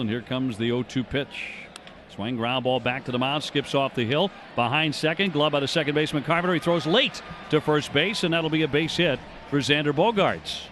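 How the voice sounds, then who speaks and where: An American man calling live baseball play-by-play on radio in a measured, descriptive manner. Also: mid-range voice, fairly bright tone, slight background noise. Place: ballpark broadcast booth.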